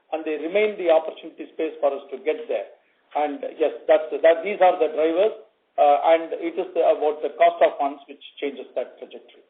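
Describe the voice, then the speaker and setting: A fairly high-voiced man gives a financial answer as an executive. He is very halting and keeps restarting phrases. The sound is a narrow, tinny phone line. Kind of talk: spontaneous financial answer on an earnings call. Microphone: conference call line.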